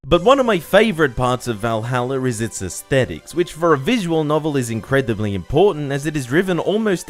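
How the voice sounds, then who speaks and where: Lively male video game reviewer over music. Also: medium pitch. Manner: enthusiastic, casually sweary. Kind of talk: scripted video essay narration. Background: music under the voice.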